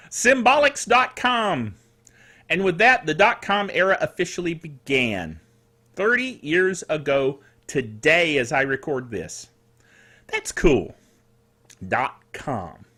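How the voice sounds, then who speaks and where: Animated, high-pitched middle-aged man with dry throat. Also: slow, pauses often. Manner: playful, quirky, theatrical asides. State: dry, slightly rough throat. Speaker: host of a tech news show. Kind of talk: chatty solo tech news commentary.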